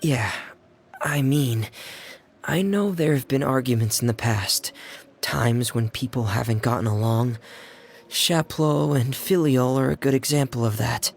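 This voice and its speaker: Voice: medium pitch, a little slow. A performer playing a shy, gloomy young boy in a video game, hesitant and subdued. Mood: hesitant, uneasy.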